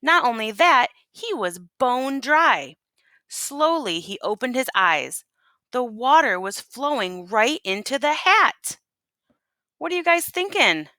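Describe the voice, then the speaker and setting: Animated, bright-voiced woman reading a story. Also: sits high, takes it slowly, no room sound. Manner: expressive, folksy storytelling. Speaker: teacher reading a story aloud. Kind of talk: animated picture-book read-aloud to listeners.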